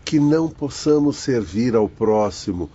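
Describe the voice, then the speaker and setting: A man's voice: medium pitch, lively intonation, a little slow, mellow, breathy edge, lo-fi sound. A man acting a wise, consoling spirit doctor in an audiobook drama, with a formal, moralising manner. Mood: calm counsel.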